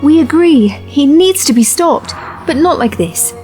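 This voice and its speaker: A woman's voice: sits high, expressive, a little slow, a little mellow. A woman playing an earnest, betrayed rebel in an audio drama, with a pleading, intense manner. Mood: urgent, pleading. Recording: faint music behind the voice.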